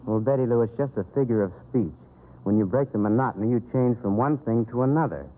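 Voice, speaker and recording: A man's voice: mid-range voice, fast. A man playing the straight man in a 1930s radio comedy, explaining things with patient exasperation. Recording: tinny 1930s radio recording, music.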